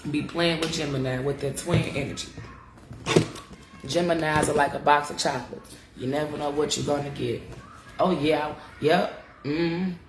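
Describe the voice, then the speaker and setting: A woman's voice: deep voice, fairly even intonation, normal speed, reverberant, noisy background. A congested woman giving a casual live-stream astrology talk, chatty and frank, cursing freely and calling her viewers y'all. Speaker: astrology teacher. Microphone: live-stream device mic.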